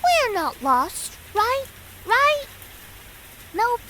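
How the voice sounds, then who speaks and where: A woman's voice: extremely high pitch, big pitch swings, very slow, heavily acted. A woman voicing a small, insistent, clingy child in a visual novel fandub. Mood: pestering. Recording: noisy, rain sounds. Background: rain.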